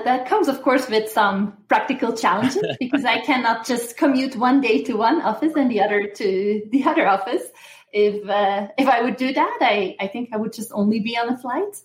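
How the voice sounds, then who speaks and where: A woman in her thirties from Belgium, explaining things as an interview guest and professor. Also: on the high side. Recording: roomy, echoing sound.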